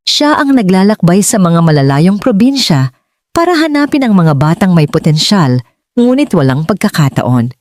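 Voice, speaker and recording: A Filipino-accented woman narrating a scripted dramatic story in a measured, earnest way, as for an audiobook. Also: fairly low pitch, sing-song, a little mellow, dry recording, clean studio sound.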